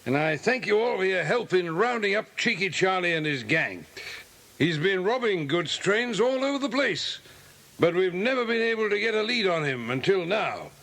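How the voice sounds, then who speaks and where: A man's voice: high-pitched, very animated, normal speed, fairly bright tone, heavily acted. A man playing a hearty, authoritative police inspector in a children's audio drama. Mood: hearty, approving. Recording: hissy old cassette recording.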